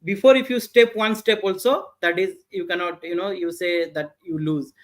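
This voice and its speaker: A middle-aged man from India with an Indian English accent, telling his business story in a halting, filler-heavy way. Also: high-pitched, steady tone. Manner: halting, many "uh" fillers and restarts. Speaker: guest entrepreneur. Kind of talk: interview answers, casual storytelling.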